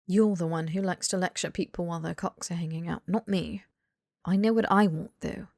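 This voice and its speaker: A woman's voice: on the low side, fairly even intonation, a little fast, gravelly, darkish tone, no room sound, studio quality. A woman voicing an earnest, blunt young British man in audiobook dialogue, emphatic and pleading. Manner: emphatic, sweary, rambling tirades.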